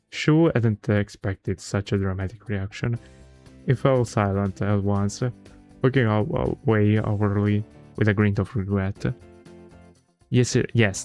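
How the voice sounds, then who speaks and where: A man's voice: lowish voice, a little mellow. A man livestreaming a visual novel read-aloud in a casual, chatty way, often stumbling over words and correcting himself.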